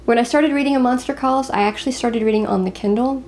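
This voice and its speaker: A woman co-hosting a casual book chat, talking in an expressive, confiding way. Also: on the high side, fast talker, slight background noise. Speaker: co-host of a book review show.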